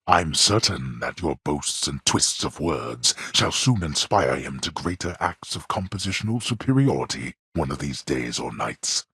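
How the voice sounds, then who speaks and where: An actor playing a stern, imperious god of the underworld in a video game, pompous and disdainful in archaic, formal speech, with a gravelly, husky voice. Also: male voice, rumbling low, bright, forceful tone, no room sound, studio quality, exaggerated voice. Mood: weary, formal. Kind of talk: theatrical video game character acting.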